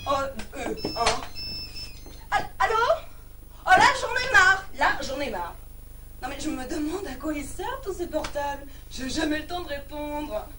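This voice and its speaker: A high-voiced, excitable woman, acted out by a female stage comic in a comedy monologue, heard from a distance through clear noise. She is naive and easily indignant, exclaiming and repeating herself with melodramatic flair. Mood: fed up. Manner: exclamatory, melodramatic, repeats words, talks to unseen listeners. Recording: distant mic, noisy live room recording.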